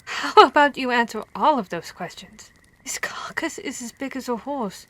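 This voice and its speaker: A woman playing a female vampire hunter who scolds and cares for her comrade, in an expressive, stammering ASMR roleplay. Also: sits high, normal speed, no room sound. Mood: shocked, worried.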